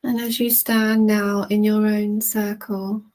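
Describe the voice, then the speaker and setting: A woman leading a guided relaxation in a soothing, gentle manner with long, unhurried pauses. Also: medium pitch, level tone. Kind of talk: guided relaxation, meditation narration.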